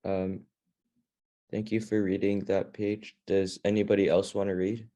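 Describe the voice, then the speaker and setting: Low, mellow male reader. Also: level tone, measured pace. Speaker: reading club leader. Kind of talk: reading a children's fantasy novel aloud to a group.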